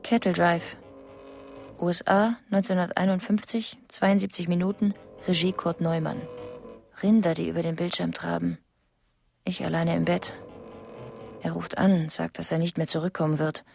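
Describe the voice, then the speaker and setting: An actress playing a lonely, fearful wife who recites observations in a detached, clipped radio drama monologue. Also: female voice, steady tone, moderate pace, darkish tone. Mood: clinical, melancholy. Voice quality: low, creaky. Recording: music behind the voice.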